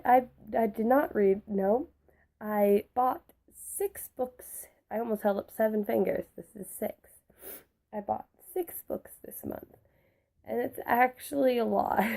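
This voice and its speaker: A young woman talks through her new books in a loose, rambling way, often restarting her sentences. Her voice is hoarse from a cold, gravelly and mellow in tone. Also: mid-range voice. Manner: rambling, many restarts and fillers. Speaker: book vlogger. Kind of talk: casual book haul chat.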